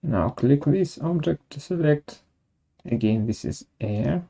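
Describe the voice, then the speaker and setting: A software webinar presenter narrating a tutorial step by step in a measured way, halting between steps. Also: medium pitch, lively intonation, creaky.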